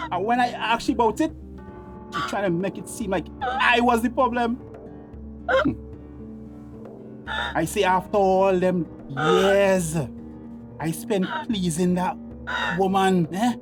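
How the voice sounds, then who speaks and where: Bitter, high-voiced Tobagonian villain. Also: male voice, unhurried, pauses often, music in the background. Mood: bitter, self-righteous. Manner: ranting, threatening. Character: bitter, menacing uncle, jealous and self-righteous villain. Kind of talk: radio drama acting in dialect.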